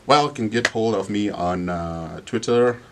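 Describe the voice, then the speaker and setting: Low-voiced relaxed young man. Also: unhurried, noisy background. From Malawi. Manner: relaxed, loose, with many fillers. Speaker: guest. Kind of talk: radio interview. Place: radio studio.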